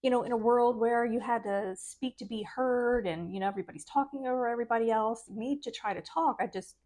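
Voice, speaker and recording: Soft-voiced woman, earnest and chatty. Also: on the high side, a little fast. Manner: earnest, chatty, laughs between thoughts. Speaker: spiritual vlogger. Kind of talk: casual talk to camera.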